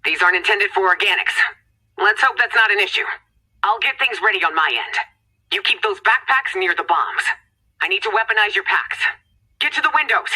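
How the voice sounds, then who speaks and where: A woman's voice: mid-range voice, expressive, brisk, bright, forceful tone, husky, exaggerated voice, poor audio. A woman playing an urgent, commanding scientist in a video game, giving terse, tense mission directions.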